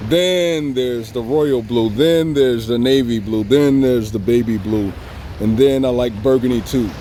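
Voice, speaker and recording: Male vlogger with a Southern Californian accent, mid pitch, relaxed and repetitive, recorded outdoors at the beach over faint surf. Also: unhurried, a little hiss or hum. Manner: relaxed, rambling, repeats himself, chuckles often. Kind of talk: casual tribute vlog. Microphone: phone or camera filming himself.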